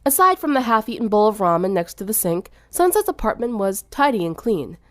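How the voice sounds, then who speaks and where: A young woman reads a story as its narrator. Her pitch is middling and moves in a lively way through the scripted prose, and the recording is dry and close. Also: brisk, no room sound. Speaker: fan fiction narrator.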